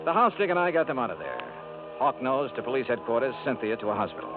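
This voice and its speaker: A hardboiled male insurance investigator, played by a man in a 1950s radio drama, tough and wry. He talks in a clipped, matter-of-fact way with a lively, rising and falling pitch. The sound is a tinny lo-fi radio broadcast with music underneath. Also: fast, natural voice. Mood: dry, reporting.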